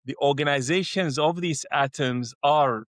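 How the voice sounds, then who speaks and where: A man's voice: on the high side, measured pace, a bit bright, no room sound. Hesitant middle-aged man, dry sound. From Iraq. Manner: hesitant, many restarts and fillers. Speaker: educator, co-host. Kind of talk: conversational dialogue.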